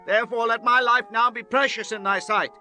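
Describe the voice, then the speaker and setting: A man plays an earnest, deferential follower in a biblical audio drama. The voice is very high for a man and bright, with formal archaic phrasing and faint music behind it. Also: steady tone, a little fast, heavily acted. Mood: pleading. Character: earnest, deferential men: messengers, pleading captain, loyal disciple. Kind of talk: dramatized scripture reading.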